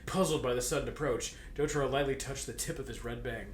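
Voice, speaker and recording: A man's voice: medium pitch, fast. A man casually reading fan fiction aloud and bantering, with a teasing, crude and giggly manner. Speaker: guest co-reader. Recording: roomy echo, faint background noise.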